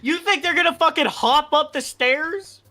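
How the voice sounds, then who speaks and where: A woman's voice: high-pitched, normal speed, bright, forceful tone, faint music behind. A blunt, sweary woman in casual banter with friends while playing a game. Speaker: streamer voicing game lines with friends. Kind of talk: casual banter and read-aloud game dialogue.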